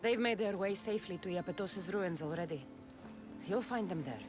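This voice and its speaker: A woman's voice: medium pitch, expressive, moderate pace, music in the background, natural voice. A woman playing a confident, blunt warrior heroine in a video game, direct and matter-of-fact. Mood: assured, helpful. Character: confident, blunt female warrior hero, questioning and helpful. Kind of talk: video game cutscene acting.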